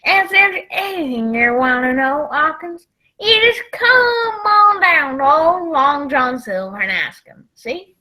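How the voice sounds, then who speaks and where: A woman's voice: high voice, expressive, unhurried, sharp tone, full character voice. A woman playing a folksy old male sea cook in a radio play, drawling. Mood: jovial, coaxing.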